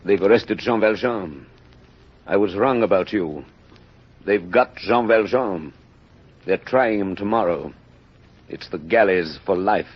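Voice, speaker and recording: Stern, low-voiced, husky inspector. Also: male voice, unhurried, long pauses. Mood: grim, insistent. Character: stern, dogged police inspector. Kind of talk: vintage radio drama acting. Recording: muffled vintage radio recording.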